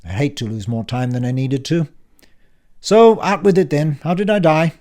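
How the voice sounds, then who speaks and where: A man's voice: on the high side, normal speed, dark tone, voice pushed up, lightly acted. A man voicing a brash, impatient older uncle who talks in a cutting, bossy way in an audiobook. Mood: brusque. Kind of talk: audiobook character voice.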